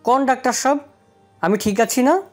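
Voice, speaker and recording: A woman's voice: sits high, a little fast, darkish tone, natural voice. A woman voicing a humble, reluctant old man with a rural Bangladeshi accent, meekly protesting in an audio story. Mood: sheepish, protesting. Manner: meek, protesting. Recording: music under the voice.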